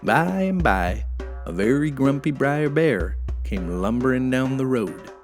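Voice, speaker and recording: A man telling a folktale as an expressive, folksy scripted narration. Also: medium pitch, unhurried, faint music behind. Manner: folksy, animated. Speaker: storyteller.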